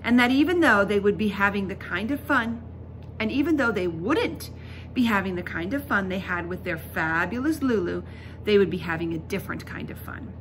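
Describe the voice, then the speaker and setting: A middle-aged woman reading a children's book aloud as a teacher, expressive, emphatic and instructive. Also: medium pitch, normal speed, low-quality recording. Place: indoors in a school classroom. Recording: classroom recording with background noise.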